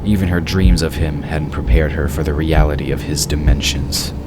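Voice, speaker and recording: A man's voice: rumbling low, little pitch movement, normal speed, very noisy. A man narrating a scripted fantasy story as the storyteller. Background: loud music.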